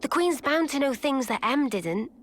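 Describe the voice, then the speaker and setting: A woman voicing a calm young heroine in a video game, measured and tactful in her delivery. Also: high-pitched, slight background noise. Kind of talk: video game cutscene acting.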